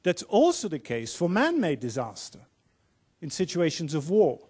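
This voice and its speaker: Man, 50s, Dutch accent, higher voice, earnest forum moderator on a handheld mic. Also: huge pitch swings, darkish tone, slightly rough. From Netherlands. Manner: earnest, measured, quoting speakers. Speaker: panel moderator. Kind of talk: formal opening speech. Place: large auditorium on stage.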